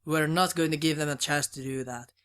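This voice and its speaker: A woman's voice: deep voice, steady tone, fairly quick, close to an everyday voice. A woman playing a grim, vengeful commander in a fan audio drama, cold and resolute.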